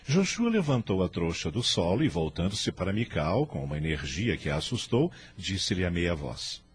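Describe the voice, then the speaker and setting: A male narrator tells a radio drama story. His voice sits low and moves with plenty of expression, in formal, literary phrasing. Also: sing-song, moderate pace.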